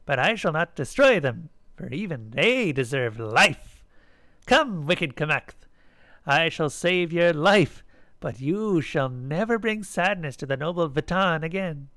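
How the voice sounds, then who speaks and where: A man's voice: on the high side, animated, measured pace, pushed and bright, no room sound, exaggerated voice. A man voicing a girl in a children's pageant who plays a loving mother goddess, proclaiming grandly and sweetly in a story. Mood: solemn, proclaiming.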